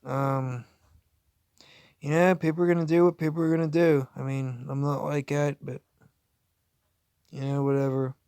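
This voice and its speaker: A man's voice: mid-range voice, flat delivery, moderate pace, pauses often, a little mellow, clear, resonant tone, no room sound, studio quality. A man giving casual, unscripted reaction commentary in a blunt, rambling way, with crude language and swearing. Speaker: reaction video YouTuber.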